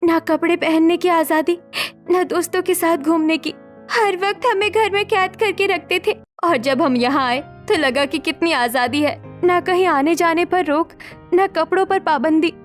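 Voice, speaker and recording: A woman's voice: extremely high pitch, moderate pace, faint music behind. A woman voicing an anxious, apologetic young daughter-in-law in a cartoon story, earnest and pleading. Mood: remorseful, explaining.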